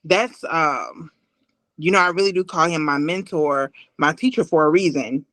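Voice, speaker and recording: A woman's voice: sits low, normal speed, fairly bright tone, dry recording. A woman in her forties talking earnestly and emotionally as a guest in a heartfelt interview on a video call. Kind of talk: heartfelt personal interview talk.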